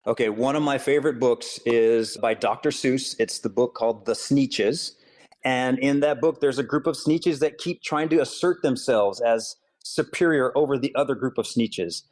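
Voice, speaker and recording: A man from Hawaii speaks as an author and school counselor being interviewed. He explains his ideas earnestly and steadily, at a middle pitch, and keeps his delivery even. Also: normal speed. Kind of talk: interview answers.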